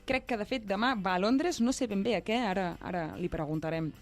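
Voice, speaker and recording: A woman's voice: mid-range voice, lively intonation, fairly quick, faint music behind. A woman with a Catalan accent co-hosting a talk show, moving between prepared introductions and casual banter. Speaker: co-host.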